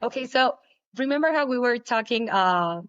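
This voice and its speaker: A young woman whose native language is Spanish, answering interview questions casually, with many halting restarts. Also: fairly high pitch, lively intonation, smooth, dry recording, muffled recording. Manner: halting, repeats words, laughs often. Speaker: guest, pole dancer.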